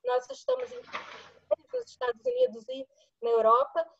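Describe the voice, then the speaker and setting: Woman, Latin American accent, high-pitched and very expressive, asking a question over a muffled call line. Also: moderate pace, creaky. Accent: Latin American Portuguese. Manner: animated, laughs between phrases. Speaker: audience member asking a question. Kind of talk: webinar question.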